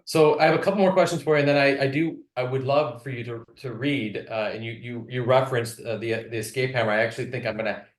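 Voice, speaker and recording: A man's voice: mid-range voice, fairly even intonation, quick pace, few pauses. A man interviewing a guest conversationally, with rambling questions full of halts and repeated words. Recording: roomy, echoing sound.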